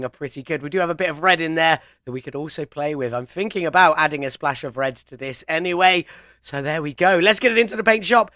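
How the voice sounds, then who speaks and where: A young man chats through his gameplay step by step, sounding a little under the weather from a chest cold. His voice sits fairly high and has a bright, forward ring. The recording is close and dry, with no room sound around him. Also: no echo. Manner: chatty, talks himself through each step. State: ill with a chest infection and cold. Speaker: gameplay commentator. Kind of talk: casual gameplay commentary.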